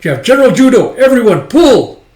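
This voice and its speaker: A man's voice: very high voice, sing-song, rapid-fire, voice pushed up. A man voicing a commanding army general who urgently barks orders in battle. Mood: barking orders. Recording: roomy, echoey sound.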